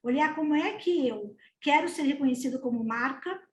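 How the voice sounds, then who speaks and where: A woman's voice: sits high, normal speed. A woman speaking earnestly on a panel, asking rhetorical questions. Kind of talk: webinar panel discussion. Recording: echoey room.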